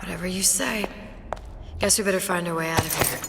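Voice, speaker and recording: Young game heroine, slightly low voice, anxious, quick-witted, dry sarcasm, reverberant space. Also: female voice, gravelly, very noisy. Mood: thinking aloud. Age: young adult. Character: clever, anxious, sardonic young woman heroine. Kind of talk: dramatic video game dialogue. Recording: long reverb, loud music behind.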